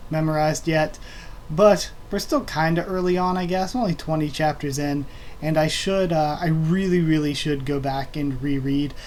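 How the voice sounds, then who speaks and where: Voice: medium pitch, a little mellow, a little hiss or hum. A manga read-through host talking casually into a desk microphone, rambling with many fillers and self-corrections.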